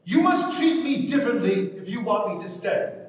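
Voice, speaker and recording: An actor playing a troubled, earnest grown son of a farmer, in a staged play reading. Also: male voice, extremely high pitch, very animated, moderate pace. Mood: insistent, hurt. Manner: earnest, insistent. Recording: very echoey hall, distant microphone.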